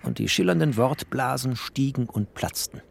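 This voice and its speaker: A man's voice: mid-range voice, lively intonation, moderate pace, creaky, slight background noise. A man narrating a literary audio drama in a wry, descriptive way.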